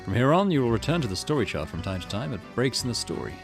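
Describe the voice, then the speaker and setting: A man reads as a polite, knowing storyteller from a visual novel game, addressing the player directly. The phrasing is formal and measured, yet the pitch moves in a lively way, with music playing behind. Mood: courteous explaining. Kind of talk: visual novel narration read aloud.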